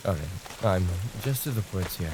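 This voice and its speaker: A man playing a possessive, smugly menacing stalker in an ASMR roleplay, taunting in a mock-tender, unhurried way with a gravelly, husky voice. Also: deep voice, lively intonation, background noise. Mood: smug, hurried.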